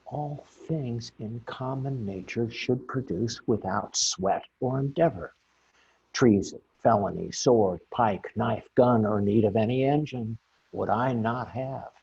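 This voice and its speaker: An actor playing a musing old courtier in a staged Shakespeare monologue, declaiming in halting short phrases with a husky, gravelly voice over a video call. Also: male voice, mid-range voice, a little mellow, a little hiss or hum. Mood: grand, rapturous. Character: musing old courtier describing his ideal utopia. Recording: dull, muffled video-call audio.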